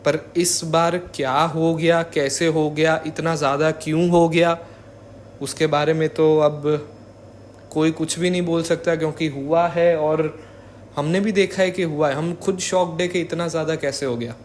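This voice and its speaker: A man's voice: on the high side, fairly even intonation, moderate pace, a little hiss or hum. A young man giving informal spoken commentary as a vlogger, mixing in English words. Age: young adult. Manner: code-switches with English words.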